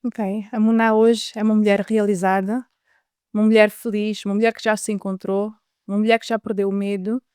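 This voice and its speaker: A woman's voice: medium pitch, a little mellow, clear, resonant tone. A woman in her thirties with a European Portuguese accent tells her own story, earnest and reflective. Her voice sits mid-range, and the recording is close and dry with no room echo. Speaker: interview guest. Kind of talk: personal life story interview.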